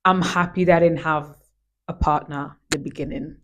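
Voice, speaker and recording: A woman in her twenties from Israel, a podcast guest chatting casually with a friend, animated and quick to laugh. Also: low-pitched. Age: 20s. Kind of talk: casual chat.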